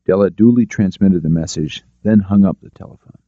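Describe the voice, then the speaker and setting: A man's voice: sits low, brisk, mellow, dry recording, dull audio. A man reading a detective novel aloud as a scripted audiobook narration.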